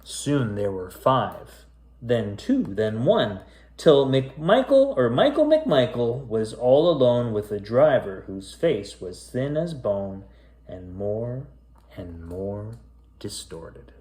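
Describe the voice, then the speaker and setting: A man's voice: medium pitch, sing-song, a little mellow. A man reading a children's bedtime story aloud in a theatrical, suspenseful way. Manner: theatrical, drawn-out, suspenseful delivery. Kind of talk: dramatic storybook read-aloud with casual asides. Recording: roomy, echoey sound.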